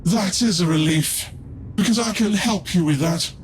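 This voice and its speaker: An actor playing a scheming heretical tech-priest scholar in a video game, speaking in a formal, theatrical, drawn-out way. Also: male voice, sits high, a little hiss or hum, lightly acted. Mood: pleading, evasive.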